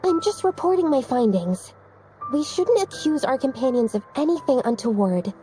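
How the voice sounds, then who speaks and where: A woman voicing a soft-spoken, careful and earnest young female researcher in a video game. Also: high-pitched, sing-song, normal speed, soft background music. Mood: thoughtful, concerned.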